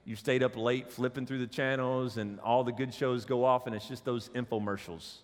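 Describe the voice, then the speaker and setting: A man with a head cold delivers a casual, joking sermon in a church sanctuary, his usually higher voice pulled lower. His words ring out with a long hall reverb. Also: steady tone, moderate pace. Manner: joking, conversational preaching with audience asides. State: has a cold, voice deeper than usual. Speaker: associate pastor.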